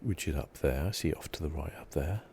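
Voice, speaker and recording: A man giving soft, relaxed, musing ASMR commentary as host of a video game playthrough. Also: extremely low pitch, fast talker, creaky, a little hiss or hum. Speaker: gaming channel host.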